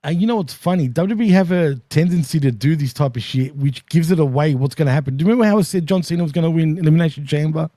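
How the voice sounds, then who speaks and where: A man with an Australian accent co-hosting a casual wrestling chat, laid-back and quick to laugh. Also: fairly high pitch, mellow, no room sound, studio quality. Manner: laid-back, laughs often, calls others bro. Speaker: co-host.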